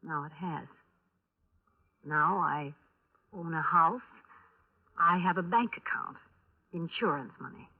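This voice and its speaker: A woman's voice: lowish voice, slow pace, pauses often. An American woman playing a bitter, bluntly honest young widow in a 1950s radio detective drama, controlled and dramatic in delivery. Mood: hurt, resolute. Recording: tinny vintage 1950s radio broadcast.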